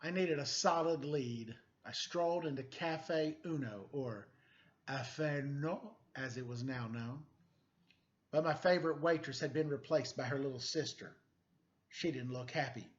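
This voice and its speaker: A man's voice: on the high side, measured pace, lo-fi sound. A middle-aged man reading a story aloud for children in a playful, quizzing manner. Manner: playful, quizzing young listeners. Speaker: story-time teacher reading a picture book aloud.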